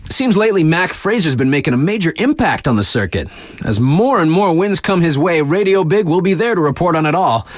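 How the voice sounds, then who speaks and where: A cool, upbeat radio DJ for a snowboarding game. His voice sits a little high and swoops with lively inflection. He delivers news patter in chummy slang, hyping the riders, in a close, dry studio sound. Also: a little fast, dry recording. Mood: upbeat, hyped. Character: upbeat, cool male mountain radio DJ, laid-back hype.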